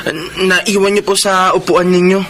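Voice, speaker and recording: Humble, respectful young waiter played by a man, lightly high voice, radio drama, clearly noisy recording. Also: flat delivery, moderate pace, fairly bright tone. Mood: polite, earnest. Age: young adult. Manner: respectful, modest, hesitant. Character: honest, polite, humble young orphaned waiter. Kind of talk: Filipino radio drama acting. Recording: noisy recording with background ambience.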